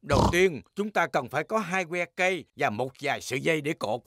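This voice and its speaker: A woman voicing a kindly, excitable old grandfather pig in a children's cartoon dub, with a southern Vietnamese accent and theatrical delivery. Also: sits low, fairly quick, a little hiss or hum. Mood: helpful. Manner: instructive, theatrical exclamations. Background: music.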